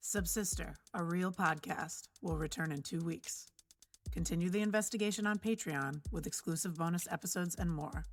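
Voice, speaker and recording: A woman announcer reads a scripted closing for a podcast. Her voice sits a little on the low side. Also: normal speed, slight background noise.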